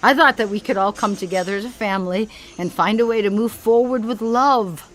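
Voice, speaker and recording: A woman's voice: mid-range voice, fairly quick, a bit bright, lightly acted. An actress playing a self-absorbed, sentimental older mother in an audio comedy, earnest and dramatic. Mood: wounded, earnest.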